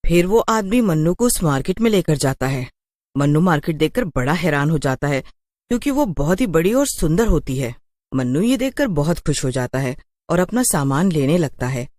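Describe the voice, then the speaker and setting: A woman's voice: low voice, big pitch swings, moderate pace, a little mellow, no echo, studio quality. A woman storyteller giving scripted narration of a horror tale.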